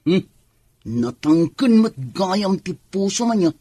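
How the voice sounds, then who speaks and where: A woman playing a disapproving, compassionate confidante in a radio drama, husky and earnestly chiding. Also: mid-range voice, big pitch swings, takes it slowly, mellow, no echo, exaggerated voice. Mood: reproachful. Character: disapproving confidante pitying her lover's children.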